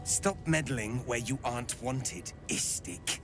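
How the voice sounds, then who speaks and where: A sneering alien warrior in a fantasy game. His medium-pitched voice is curt and full of scorn, with clear music behind it. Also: animated, slow. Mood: contemptuous.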